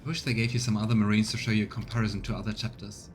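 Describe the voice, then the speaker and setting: A man's voice: fairly low pitch, level tone, racing, a little hiss or hum. A man doing casual let's play commentary, chatting as he thinks aloud and reads game text. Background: faint game music.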